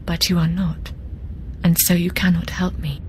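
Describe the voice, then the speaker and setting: A proud, formal woman navigator from an adventure game, voiced by an actress, avoiding contractions. Her lowish voice is breathy and half-whispered, with faint ambient noise behind it. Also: little pitch movement, normal speed, close to an everyday voice. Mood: earnest.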